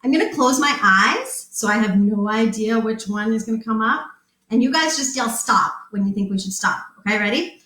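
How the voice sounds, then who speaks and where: A woman's voice: a little high, expressive, moderate pace, bright, forceful tone, reverberant, poor audio. A woman leading an interactive children's story time as a librarian, enthusiastic and encouraging as she talks to young children.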